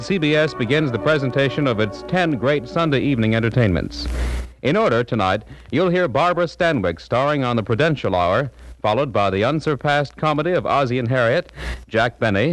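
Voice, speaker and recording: Lively old-time network announcer, man. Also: a little hiss or hum, low-quality recording. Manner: crisp, booming, lively delivery. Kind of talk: formal 1950 broadcast announcing.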